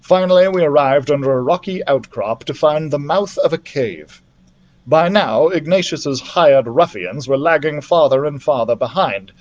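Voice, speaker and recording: Higher-pitched man reading a storybook aloud. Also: darkish tone, highs cut off. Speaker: library storyteller. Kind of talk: expressive audiobook-style story reading.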